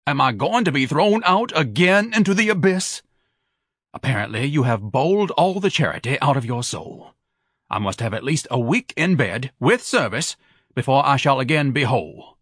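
A man's voice: mid-range voice, big pitch swings, moderate pace, fairly bright tone, husky, no echo, clean studio sound, pitched above the performer's own voice. A man voicing a pompous, lazy grown man who speaks with haughty, elaborate, condescending diction.

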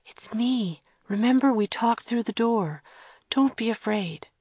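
A woman reading an audiobook, voicing a gentle, protective young woman who speaks in a soft hushed whisper. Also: fairly high pitch, a little slow, slightly rough, dry recording, clean studio sound, pitched above the performer's own voice. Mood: soothing whisper.

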